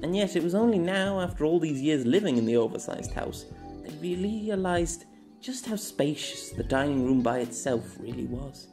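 A man narrating his own fantasy novella as an amateur audiobook, telling it in an expressive storytelling manner. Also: on the high side, moderate pace, faint music behind.

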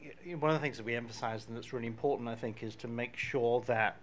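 Over faint venue noise, a man in his fifties speaks at a medium pitch on a live panel. He is thoughtful and halting, building his point as he talks. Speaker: panelist, marketer. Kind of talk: casual live panel discussion. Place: small dim event venue stage. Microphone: handheld microphone.

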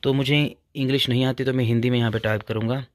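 A man's voice: mid-range voice, fairly even intonation, quick pace, dry recording, clean studio sound. A young man hosting a tech channel, walking through phone features step by step and leaning on the same filler phrases over and over. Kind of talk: casual phone tutorial.

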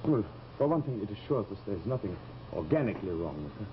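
Casting: formal middle-aged physician, mid-pitched but lively inflection, 1950s TV horror, hissy muffled soundtrack. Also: male voice. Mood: grave. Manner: formal, measured, polite. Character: grave, courteous middle-aged doctor. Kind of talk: 1950s live television drama acting. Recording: noisy, muffled old TV soundtrack.

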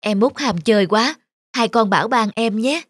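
A woman's voice: fairly high pitch, expressive, normal speed, very noisy, lightly acted. A woman voicing a caring mother gecko in a children's cartoon, patient and instructive with her young. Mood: concerned. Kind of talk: animated children's fairy tale acting.

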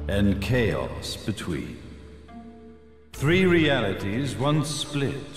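Slow, grandiose villain sage in echoing hall. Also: male voice, medium pitch. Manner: pompous, theatrical, deliberate phrasing. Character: grandiose villainous male sage, coolly menacing zealot. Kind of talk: dramatic video game villain monologue. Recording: echoey hall, long reverb, music behind.

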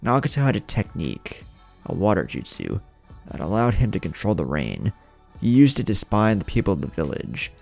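An eager, stubborn young male ninja from an anime-style audio story, acted by a man narrator. He explains things earnestly in a low, creaky voice, with music behind him. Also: sing-song, fairly quick, darkish tone, exaggerated voice. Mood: absorbed, explanatory.

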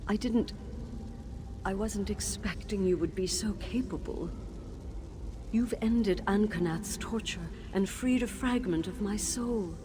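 An actress voicing the ghost of a tormented woman teacher in a video game, speaking in a measured, grave and sorrowful way. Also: mid-range voice, close to an everyday voice. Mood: grateful. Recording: game audio with steady background noise.

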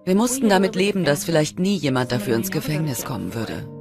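Casting: grieving female relative, somewhat low voice with animated intonation, crime documentary dub. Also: a little fast. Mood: pained. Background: prominent music.

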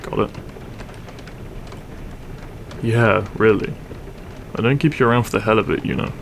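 A man playing a grumpy but secretly caring half-dragon roommate in an audio roleplay, gruff, weary and blunt. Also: lowish voice, fairly quick, slight background noise. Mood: gruffly concerned. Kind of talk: audio roleplay acting, spoken to the listener.

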